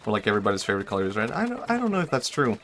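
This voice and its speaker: A man's voice: on the low side, wildly swooping pitch, very fast, fairly bright tone, slight background noise. A young man streaming a game, chatting casually and thinking aloud, often trailing off and restarting his sentences. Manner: rambling and self-reflective, trails off, many fillers and restarts. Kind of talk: casual chat while gaming.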